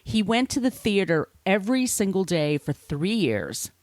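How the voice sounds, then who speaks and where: A woman's voice: mid-range voice, sing-song, normal speed, a little mellow, no room sound. A woman hosting a podcast, reading scripted narration with casual, irreverent asides. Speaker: podcast host.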